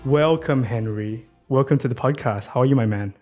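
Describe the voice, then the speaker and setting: A man with a mid-pitched voice hosts a podcast, reading an introduction before moving into conversation with his guest. He talks in a friendly way and peppers his questions with "uh," "like," and "right?". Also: moderate pace, music in the background. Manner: friendly, many fillers like "uh", "right?" and "like". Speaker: podcast host. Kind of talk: scripted intro, then casual interview.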